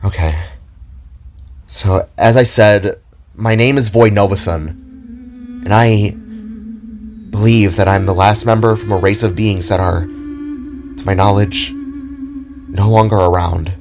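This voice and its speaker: A man voicing a sorrowful last-surviving metal warrior in a story, with a halting, hesitant delivery. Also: fairly low pitch, expressive. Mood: solemn, sad. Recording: background music behind the voice.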